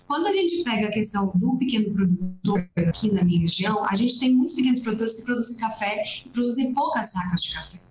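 A woman's voice: medium pitch, animated, quick pace, hardly any pauses, background noise. A young Brazilian woman, a coffee farmer, earnestly explaining at length on a video call from a small room at home, with frequent restarts. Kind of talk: explanatory interview talk. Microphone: laptop webcam on a video call. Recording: roomy video-call audio.

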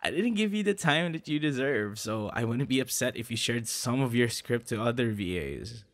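A young man playing a playful, affectionate boyfriend in an ASMR audio roleplay, with a teasing, encouraging, slightly halting delivery. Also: mid-range voice, lively intonation, close to an everyday voice. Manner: teasing, encouraging, halting with soft laughs and gasps. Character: playful, affectionate young male voice actor on a date.

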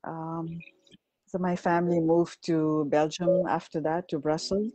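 A middle-aged woman raised in Rwanda with French as her first language, talking softly and hesitantly as a guest on a video call. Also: low voice, little pitch movement, a little slow, no echo, low-quality recording. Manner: soft-spoken, hesitant with many ums. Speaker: guest, visual artist. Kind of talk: casual video call interview. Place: home room indoors. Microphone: earphones on video call.

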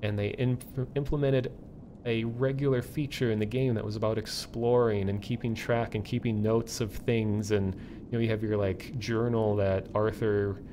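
A man chatting casually as a streamer, rambling and halting as he thinks aloud. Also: flat delivery, warm tone. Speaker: streamer and online community host. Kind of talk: casual livestream chat. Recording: low rumbling background noise.

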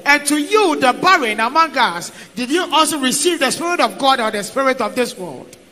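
A man interpreting a sermon line by line in a steady, declarative way. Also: very high-pitched, moderate pace. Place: large church hall, indoors. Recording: long hall reverb.

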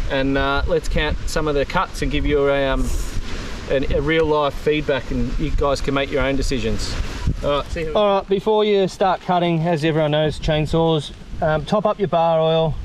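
A relaxed man in his thirties chats through a tool test out in a grassy paddock, his voice sitting a touch high for a man. He talks loosely, with plenty of ums and restarts. Wind buffets the camera mic throughout. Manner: easygoing, rambling, many ums. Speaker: vlogger reviewing gear. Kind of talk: casual product test chat. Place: outdoors in a grassy bush paddock. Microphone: camera mic, far tripod then held at arm's length. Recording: outdoor wind noise on the mic.

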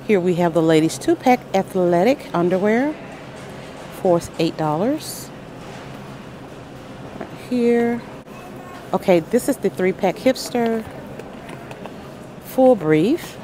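A woman strolls the aisles of a big store, calling out items and prices at an unhurried pace with a lively, swooping voice. Her phone picks up steady store noise around her. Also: mid-range voice. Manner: upbeat, listing items and prices with little exclamations. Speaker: shopping vlogger. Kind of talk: shop-with-me price walkthrough. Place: aisles of a large grocery store, indoors. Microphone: handheld phone camera.

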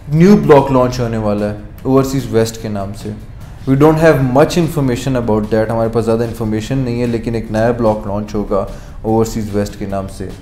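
A man's voice: fairly low pitch, normal speed, mellow. Man in a roomy office. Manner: mixes English phrases into his talk, with fillers. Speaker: real estate presenter. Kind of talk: casual update to camera. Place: office, indoors. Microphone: lapel mic. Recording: roomy office echo, faint music.

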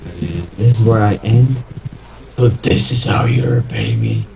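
A man's voice: on the low side, very animated, unhurried, vocal fry, noisy background. A young man chatting casually while playing a game with friends, joking crudely and swearing a lot. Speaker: gamer recording with friends. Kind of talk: casual gaming chat.

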